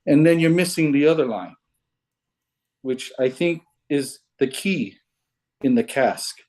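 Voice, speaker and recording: A man from Hawaii on a video call, explaining his puzzle theories in a halting, unhurried way. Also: on the high side, a little mellow. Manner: unhurried, halting, restarts often. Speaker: caller sharing puzzle theories. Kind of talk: casual video call chat. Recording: video call audio, breaking up.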